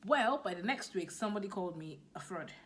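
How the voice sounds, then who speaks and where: A woman's voice: mid-range voice, lively intonation, fast talker. A woman with a Nigerian accent giving a motivational business talk to camera, emphatic and repeating her key points. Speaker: business coach.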